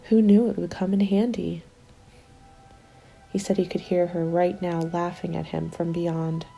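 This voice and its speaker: A woman playing a hopeful survivor of a zombie apocalypse in an ASMR roleplay, soft-spoken and often slipping into whispers. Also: fairly low pitch, little pitch movement, measured pace, creaky. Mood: wistful, relieved. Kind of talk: ASMR roleplay, intimate storytelling. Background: background music.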